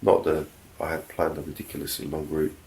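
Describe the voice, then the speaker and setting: A man's voice: a bass voice, level tone, fairly quick, vocal fry, darkish tone, slightly rough. A man with a blocked nose talking casually to camera as a motorcycle travel vlogger, tired and laid-back with lots of fillers. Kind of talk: casual vlog talk to camera.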